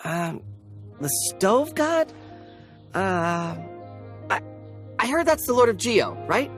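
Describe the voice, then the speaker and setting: Game warehouse worker, swooping pitch, nervous, deferential, hesitant, faint music behind. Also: female voice, sing-song, takes it slowly. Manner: hesitant, flustered, stammering. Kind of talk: video game voice acting.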